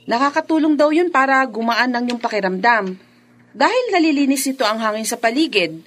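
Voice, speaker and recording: An actress playing an eager, chatty adult daughter in a Filipino radio drama, speaking emphatically and conversationally. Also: female voice, fairly high pitch, lively intonation, brisk, fairly bright tone. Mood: eager, reassuring.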